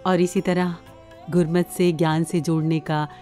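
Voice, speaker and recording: A woman with a slightly low voice hosts a devotional audio programme over faint music. She interviews conversationally, responding attentively and affirming her guests before asking more. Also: level tone, a little slow.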